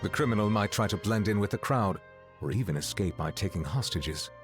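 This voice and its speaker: A man's voice: lowish voice, expressive, fairly quick, natural voice. A man voicing a composed, serious and courteous officer in a video game, formal and precise. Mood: serious, reassuring. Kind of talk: video game dialogue acting. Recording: clear game music behind. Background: background music.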